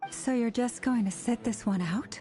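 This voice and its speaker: A woman as a soft, mellow game priestess. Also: a little high, moderate pace, slight background noise, natural voice. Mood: earnest, resolute. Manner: calm, earnest. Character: gentle, sincere, steadfast young priestess. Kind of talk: video game dialogue acting.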